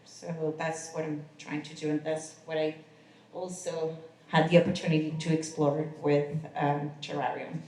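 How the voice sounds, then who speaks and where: Woman, low pitch, very slow, hesitant, mic at a distance, echo. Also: little pitch movement, mellow. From Colombia. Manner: halting, many restarts and fillers. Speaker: costume designer, panelist. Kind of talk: self-introduction at a conference. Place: conference room. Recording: echoey room, far from the mic.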